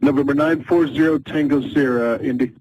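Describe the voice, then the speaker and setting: A man working as an air traffic controller, calmly giving procedural instructions and repeating them over an aviation radio. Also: medium pitch, brisk, no echo. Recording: narrow, noisy aviation radio transmission.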